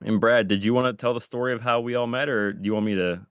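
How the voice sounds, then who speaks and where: A man in his thirties chatting casually on a podcast, quick to laugh. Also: medium pitch, steady tone, smooth, no echo, studio quality. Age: 30s. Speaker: podcast co-host. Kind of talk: casual chat.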